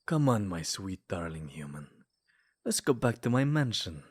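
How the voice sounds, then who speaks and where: A man's voice: low voice, very animated, normal speed, husky, full character voice. A man playing a smug, possessive, lovesick vampire in a comedic ASMR roleplay, theatrical and taunting one moment and sweet-talking the next. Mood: smug wooing.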